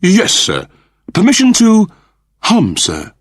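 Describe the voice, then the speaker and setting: An earnest robot servant, played by a male narrator, fussy and formal in wording. The voice sits high and swoops about, always deferential and a little anxious, in a dry audiobook recording. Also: huge pitch swings, slow, breathy edge, no room sound, exaggerated voice. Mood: meek.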